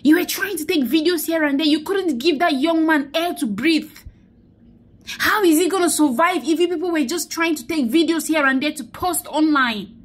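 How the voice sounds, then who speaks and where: Young woman, high voice, phone selfie vlog, sad and preachy, keeps repeating herself. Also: normal speed. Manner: grieving and exhorting, repeats phrases, preaching tone. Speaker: vlogger. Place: indoors, small room. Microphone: phone held close to face.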